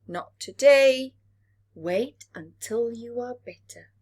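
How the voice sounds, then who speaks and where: Woman as a gentle, unhurried storybook parent. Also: on the high side, husky. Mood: gently firm. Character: caring parent moon and sun, gently firm.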